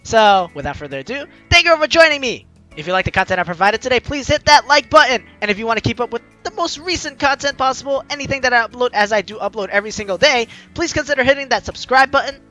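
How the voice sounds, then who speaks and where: Animated, high-pitched man doing a let's play. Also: fast talker. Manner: animated, chatty, reacts playfully aside to the game. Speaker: gaming video host, let's player. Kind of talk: let's play: reading game narration aloud with casual commentary. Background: game music.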